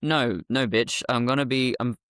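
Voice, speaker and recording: A woman with a deeper voice, a guest talking bluntly and sardonically, swearing freely. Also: steady tone, moderate pace, fairly bright tone, no echo, clean studio sound. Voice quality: deeper voice after testosterone. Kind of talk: candid interview talk.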